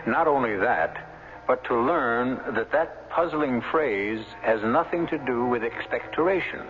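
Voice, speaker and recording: A man's voice: medium pitch, animated, unhurried, noisy background. A man hosting and narrating a radio mystery program in a formal, theatrical storytelling style. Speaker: host and narrator. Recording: tinny 1970s radio broadcast, music behind.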